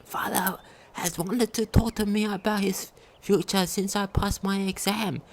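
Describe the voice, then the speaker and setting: A woman's voice: mid-range voice, steady tone, measured pace. A woman reading a diary aloud during a game playthrough, sometimes stumbling over longer words. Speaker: game commentator.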